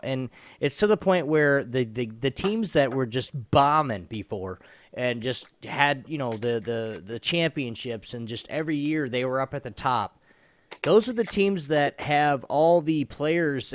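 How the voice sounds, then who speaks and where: A man's voice: mid-range voice, animated, normal speed, no room sound, clean studio sound. A man co-hosting a podcast, chatting about sports in a blunt, informal and emphatic way. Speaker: podcast co-host. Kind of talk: casual opinionated sports chat.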